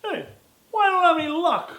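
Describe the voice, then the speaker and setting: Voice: medium pitch, very animated, measured pace. A storyteller doing a casual, slangy young man. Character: young man seeking luck, casual and blunt. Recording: roomy echo.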